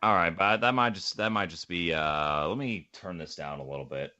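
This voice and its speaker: A man hosting a podcast in casual chat, rambling and repeating his phrases over a low-fidelity voice call. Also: moderate pace, sharp tone, dry recording, lo-fi sound. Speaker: podcast host. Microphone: voice call mic, close.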